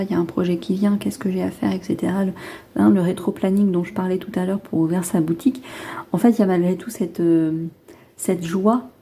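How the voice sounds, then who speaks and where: Woman w a head cold doing a chatty card reading, really warm mellow voice, kinda rambly and hesitant, mid pitch. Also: slightly rough. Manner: hesitant, rambling, thinking aloud with repeats. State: has a lingering head cold. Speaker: tarot card reader hosting a reading.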